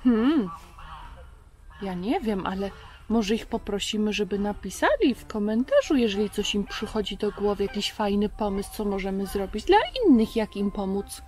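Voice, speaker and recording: A woman's voice: mid-range voice, lively intonation, moderate pace, a little mellow, natural voice. A woman voicing a friendly, helpful male firefighter puppet, chatty and eager, in a children's show. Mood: thoughtful, helpful.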